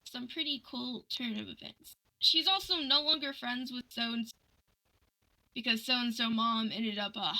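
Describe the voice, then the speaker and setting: A woman telling personal stories in casual livestream chat, animated and acting out the dialogue she quotes. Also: on the high side, measured pace, low-quality recording.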